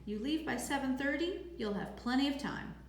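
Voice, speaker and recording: A woman reading a storybook, voicing a gruff, brusque old grandfather with a blunt, clipped manner. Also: extremely high pitch, animated, normal speed, pitched above the performer's own voice, full character voice. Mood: curt, instructing. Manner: clipped, blunt, matter-of-fact. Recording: echoey room with faint noise.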